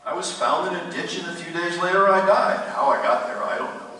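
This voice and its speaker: A man's voice: high-pitched, fairly quick, bright, forceful tone. A man playing a haunted, bewildered dead writer in a radio play, with theatrical delivery and formal, old-fashioned phrasing. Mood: haunted, uneasy. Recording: echoey hall from far off, faint hum.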